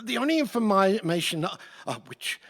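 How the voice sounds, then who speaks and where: Man acting fussy cursed emperor, high animated voice, affected, petulant, theatrical rhymes, echoing hall. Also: moderate pace, heavily acted. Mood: impatient. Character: fussy, impatient, self-absorbed cursed tyrant with fiddle. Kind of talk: theatrical rhymed radio play reading. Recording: reverberant hall recording.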